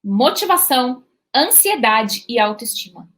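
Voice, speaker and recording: A woman's voice: a little high, a little slow. A Brazilian woman talking casually to parents about children learning English, checking in often with short questions. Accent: Brazilian Portuguese. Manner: lively, repetitive, asks "tá?" and "né?" to check in. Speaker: bilingual parenting educator. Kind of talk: informal video talk to parents.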